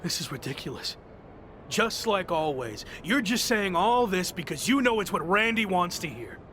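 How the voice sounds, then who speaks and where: A headstrong young champion wrestler in a game story scene. His voice is high and swings widely as he insists and defies, with faint noise behind it. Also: big pitch swings, moderate pace, bright, forceful tone, full character voice. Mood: betrayed. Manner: insistent, defiant. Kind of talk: video game cutscene acting.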